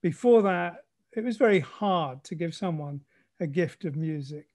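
An older man in casual conversation, speaking in measured, short, emphatic phrases. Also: on the high side, expressive, darkish tone. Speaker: conversation partner.

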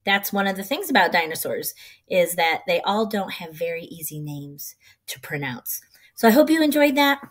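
A middle-aged woman reading a children's picture book aloud in an expressive, rhythmic, sing-song way. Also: fairly low pitch, normal speed.

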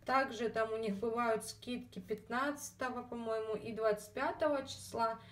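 A fairly high-voiced woman talks her way through a beauty haul in a relaxed, rambling manner, dropping fillers and calling out to her viewers. Her throat sounds slightly scratchy, and she is recorded at home on a phone held at some distance. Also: normal speed. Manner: chatty, many fillers, addresses viewers as girls. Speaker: beauty vlogger. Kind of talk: casual haul video chat.

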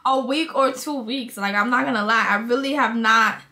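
A young woman talking casually to her phone camera in a small bedroom, relaxed and chatty with drawn-out fillers. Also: a little high. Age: young adult. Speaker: vlogger. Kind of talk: casual vlog talk to camera. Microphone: phone held close.

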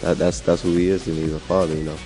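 A young man from the United States giving relaxed, easy interview answers into a handheld mic indoors. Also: deep voice, very fast, soft background music. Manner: laid-back, laughs easily, warm-hearted talk. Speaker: interviewee, coach's son. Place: indoor room. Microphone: handheld mic held in front.

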